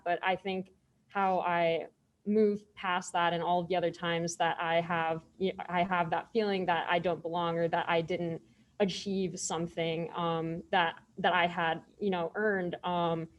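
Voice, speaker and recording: A young woman, a college student on a panel, sharing a personal story in a measured way with frequent pauses. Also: lowish voice. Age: young adult. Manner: flat, measured delivery with "um" pauses.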